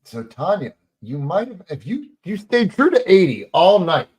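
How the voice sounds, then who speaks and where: A man co-hosting a casual live stream, teasing the viewers in quick banter. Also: high voice, sing-song, husky. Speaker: co-host.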